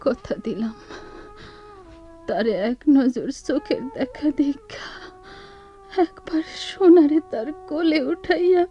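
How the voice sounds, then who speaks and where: Anguished rural Bangladeshi village wife, high, slow, pleading, self-sacrificing; melodramatic radio play. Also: female voice, expressive, soft background music. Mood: tearful pleading. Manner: tearful, pleading, halting. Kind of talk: melodramatic radio drama acting. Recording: dull lo-fi radio drama recording.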